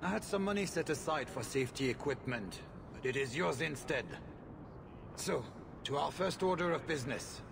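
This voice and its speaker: A man voicing a shrewd, money-minded mine owner with a brisk, dealmaking manner in a video game. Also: on the high side, animated, creaky, noisy background. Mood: businesslike.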